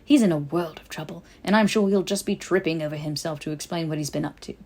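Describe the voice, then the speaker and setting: A woman's voice: sits low, quick pace. A woman voicing a hot-tempered young male wizard official in a fantasy audiobook, brash, blunt and foul-mouthed. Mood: angry, threatening. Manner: brash, blunt, slangy, loud. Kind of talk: audiobook character voice in a fantasy story.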